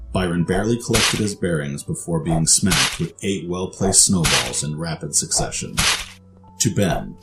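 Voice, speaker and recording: A man's voice: deep voice, low-quality recording. A man reading scripted story narration for an audio drama.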